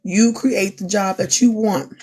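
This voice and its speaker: A woman's voice: mid-range voice, steady tone, a little slow, darkish tone, slightly rough. A young woman who is losing her voice gives an earnest, repetitive pep talk on a live stream. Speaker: small business owner, crafter. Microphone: phone.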